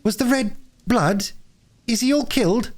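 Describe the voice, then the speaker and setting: A man's voice: very high-pitched, unhurried, pitched above the performer's own voice. A man voicing an anxious, easily exasperated young girl in a storybook reading. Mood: fearful.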